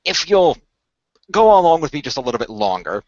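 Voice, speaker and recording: A man's voice: on the high side, very animated, fairly quick, darkish tone, dry recording. A man playing an earnest, persuasive company recruiter in a radio play, speaking formally and wordily with careful hedges. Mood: reassuring, persuasive. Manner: formal, wordy, persuasive, hedging. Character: earnest male company recruiter pitching secret magical project. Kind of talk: improvised radio play roleplay. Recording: dull lo-fi voice recording.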